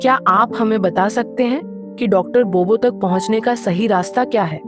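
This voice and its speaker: A woman voicing a young, kind-hearted mermaid in a cartoon story, earnest and expressive. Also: mid-range voice, moderate pace, lightly acted. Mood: polite. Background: music.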